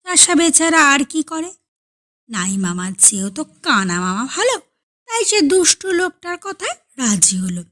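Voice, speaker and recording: A woman reading a story aloud as an expressive storyteller. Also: sits high, a little slow.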